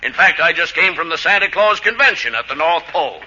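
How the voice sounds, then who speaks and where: Bright, hammy, boastful old Santa. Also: male voice, on the high side, animated, fairly quick, full character voice. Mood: jolly, boastful. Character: boastful jolly old male Santa Claus. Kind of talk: 1940s radio comedy acting. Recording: dull vintage radio recording with hiss.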